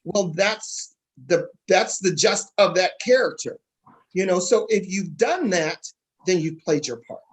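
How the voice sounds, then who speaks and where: High-voiced husky man hosting. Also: unhurried, poor audio. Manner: affirming, interjects often. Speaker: host interviewing stage play cast. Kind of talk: casual panel interview.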